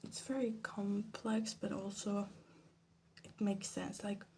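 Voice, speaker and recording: Soft half-whispering woman with creaky voice. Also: mid-range voice, level tone, takes it slowly. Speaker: vlog host. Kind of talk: ASMR casual commentary. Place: her bedroom. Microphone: phone. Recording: faint background noise, phone microphone.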